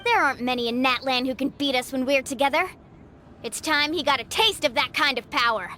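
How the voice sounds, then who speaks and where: A woman's voice: high-pitched, brisk, pushed and bright, a little hiss or hum. A woman voicing a brave, loyal young heroine in an anime-style game, with earnest, confident delivery. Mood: fired up.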